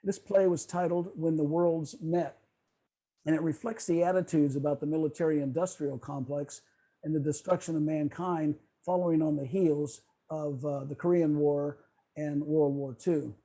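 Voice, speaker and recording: Male club presenter on a video call, soft dark tone, raspy, measured semi-scripted delivery. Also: a little high, steady tone, low-quality recording. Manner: measured, explanatory, stumbles while reading. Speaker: club presenter on old-time radio.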